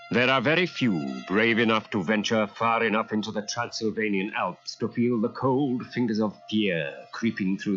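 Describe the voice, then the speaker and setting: A man narrating a children's story in a dramatic, theatrical and expressive style. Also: lowish voice. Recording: muffled cassette transfer.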